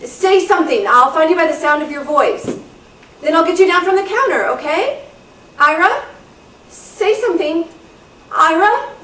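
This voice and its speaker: A woman playing an exasperated young babysitter growing frightened in the dark, in an amateur radio thriller. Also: very high-pitched, expressive, normal speed, long pauses. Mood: anxious, pleading. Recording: echoey room, distant mic, background noise.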